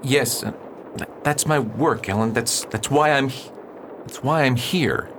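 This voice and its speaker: An actor playing an earnest, troubled married preacher in a radio drama, formal and restrained in manner. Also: male voice, mid-range voice, a little slow, natural voice. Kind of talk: period stage-style radio drama acting. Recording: noisy, rain-like background hiss.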